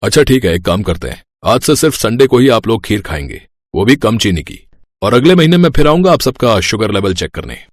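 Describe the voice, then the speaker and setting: A man's voice: fairly low pitch, sing-song, a little fast. A man playing a firm, reassuring young doctor in a moral story. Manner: assured, advising.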